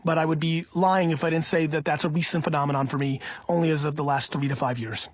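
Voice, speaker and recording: A man reading an audiobook in the first person, in an emphatic, punchy, conversational way with a husky voice. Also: fairly high pitch, steady tone, fast, no room sound. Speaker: audiobook reader.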